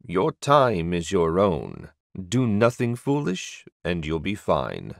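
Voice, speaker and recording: A man voicing an aged, solemn high sorcerer who speaks in a slow, ceremonious way. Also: wildly swooping pitch, vocal fry, dry recording, studio quality, heavily acted. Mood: grave advice.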